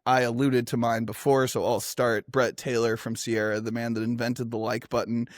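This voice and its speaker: A man moderating a casual industry panel, energetic and enthusiastic, with lots of filler words. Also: mid-range voice, moderate pace, no room sound, clean studio sound.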